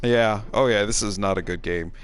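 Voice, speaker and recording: A man's voice: on the low side, moderate pace, clean tone, soft background music. A middle-aged man, a game streamer and co-host, chats casually while playing, in a rambling, wry, self-deprecating way.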